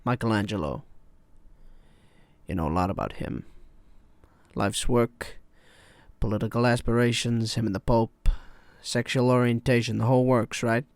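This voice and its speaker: Male actor as weary, wounded therapist, film drama. Measured, pointed delivery, confrontational, close dry recording. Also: medium pitch, dry recording, lightly acted. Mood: pointed, restrained.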